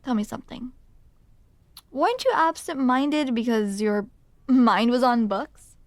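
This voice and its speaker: A woman's voice: a little high, animated, a little slow, dry recording. A woman playing a grumpy but smitten barista in an intimate ASMR roleplay, hesitant, teasing and self-deprecating, close to the microphone. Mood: shy, teasing. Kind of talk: ASMR roleplay, intimate one-sided conversation. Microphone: close microphone, dry.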